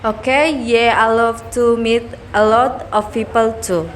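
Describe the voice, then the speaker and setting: A woman's voice: on the high side, fairly even intonation, measured pace, a little hiss or hum. A young woman hosting a student talk show, reading her lines in a plain scripted way.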